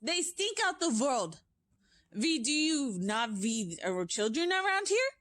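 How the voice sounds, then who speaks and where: A woman's voice: sits high, very animated, no echo, clean studio sound, voice pushed up, full character voice. A woman reading aloud and voicing a furious, tyrannical female witch leader who shrieks her orders and stretches out her words. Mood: shrieking rage. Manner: shrieking, loud, drawn-out words. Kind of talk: audiobook character voice.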